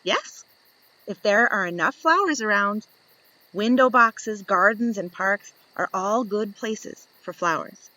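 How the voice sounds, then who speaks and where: A woman reading a picture book aloud to young children, expressive and instructive, putting questions to her listeners and answering them. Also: mid-range voice, slow, no room sound, poor audio.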